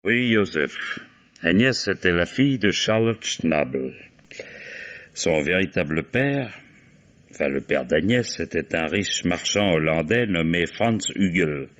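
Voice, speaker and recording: A shrewd, weary commissioner speaks in a deep voice. He is deliberate, musing and commanding, in old French radio mystery style. The sound is dull and dated. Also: lively intonation, a bit bright, exaggerated voice. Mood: reflective, explaining. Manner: deliberate questioning with musing interjections. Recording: dull vintage radio drama recording.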